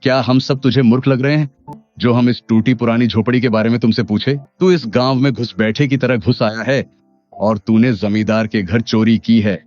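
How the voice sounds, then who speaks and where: A stern village headman from a cartoon moral story, acted by a man. His medium-pitched voice is blustering and authoritative. The recording is a little dull, with faint music. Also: steady tone, moderate pace, darkish tone, lightly acted. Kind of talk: animated moral story character acting. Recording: dull lo-fi sound under music.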